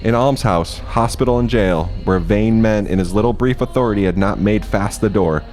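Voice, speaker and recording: A man narrating a classic tale in a measured, literary radio-play style. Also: on the low side, a little mellow, faint music behind.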